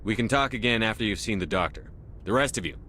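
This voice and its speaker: No-nonsense military commander for a sci-fi game, deep-ish voice, terse authority. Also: male voice, expressive, fast talker, pushed and bright, slight background noise. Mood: businesslike. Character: resolute, no-nonsense male military space commander. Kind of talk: video game voice acting.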